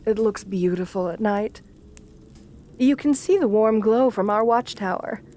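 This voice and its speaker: A woman's voice: medium pitch, a little slow, mellow, natural voice. A woman playing an assertive, flirtatious vampire countess in an ASMR roleplay, speaking to the listener in a genteel, doting way. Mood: gracious, charming. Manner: genteel, doting, uses pet names.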